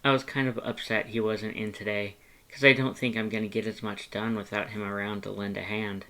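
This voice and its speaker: Casting: a narrator as a friendly shelter worker, understated, a little sheepish, audiobook dialogue at a middling pitch. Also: little pitch movement, fairly quick, fairly bright tone, lightly acted. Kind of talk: second-person character dialogue in audiobook.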